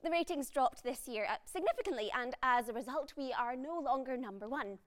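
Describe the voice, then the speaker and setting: A nervous, apologetic junior employee with a high voice, in a comedy drama. She hesitates and stammers, as if reluctant to say each next word. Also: lively intonation, fairly quick. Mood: apologetic, awkward. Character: timid, apologetic junior TV employee bringing bad news.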